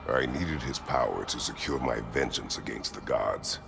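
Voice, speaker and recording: An actor playing a grim, stern warrior who speaks tersely and heavily in video game dialogue. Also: male voice, rumbling low, vocal fry, heavily acted. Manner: terse, heavy, measured speech. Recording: game audio with loud music.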